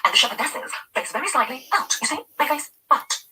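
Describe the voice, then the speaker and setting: A woman voicing a fussy, imperious middle-aged woman who orders her housekeeper about in a shrill, haughty way. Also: big pitch swings, very fast, sharp tone, far from the mic, full character voice. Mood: condescending. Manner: haughty, fretful, bossy.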